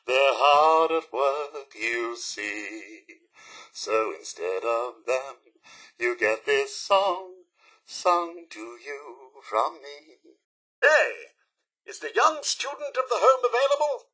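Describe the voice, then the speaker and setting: A man's voice: fairly high pitch, sharp tone, husky, full character voice. A male actor voicing a polite, fussy robot butler in a video game, with a British accent and a formal, theatrical delivery. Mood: cheery, singing. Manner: formal, overly courteous, theatrical.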